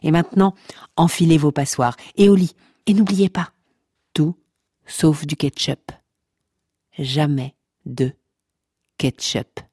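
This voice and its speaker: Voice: mid-range voice, slow, long pauses, mellow, husky, no echo, clean studio sound. Une personne qui joue la narration omnisciente d'une fiction audio comique, pompeuse, théâtrale, taquine avec son auditoire. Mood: teasing, solemn. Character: pompous, mock-scholarly omniscient narrator addressing listeners. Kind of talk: scripted comic fiction narration.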